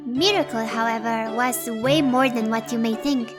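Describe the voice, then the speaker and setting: Woman playing a high-voiced gentle young healer. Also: fairly even intonation, moderate pace, over music, close to an everyday voice. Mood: modest. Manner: polite, composed, slightly formal. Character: gentle, modest young female healer with a sweet voice. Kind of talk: fan audio drama acting.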